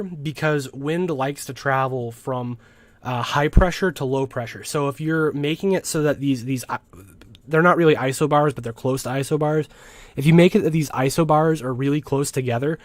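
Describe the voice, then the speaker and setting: A man's voice: mid-range voice, dry recording. A young adult man giving an informal weather explainer as a forecast host, conversational and a little hesitant, often correcting himself as he goes. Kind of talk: informal explainer commentary over weather maps.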